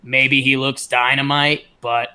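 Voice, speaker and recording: A man co-hosting a sports podcast, giving casual analysis while informally thinking out loud. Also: medium pitch, little pitch movement, unhurried, clear, resonant tone.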